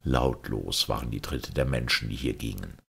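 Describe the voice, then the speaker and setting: A man narrating a literary audiobook in a deliberate, dramatic storytelling manner. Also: a bass voice, vocal fry, a bit bright, breathy edge.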